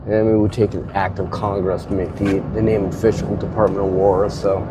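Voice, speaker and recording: A man's voice: on the low side, level tone, a little fast, noisy background. A man hosting a casual talk show, rambling with hesitant restarts, his voice stuffy from a head cold. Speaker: host. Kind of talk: casual spoken commentary. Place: car.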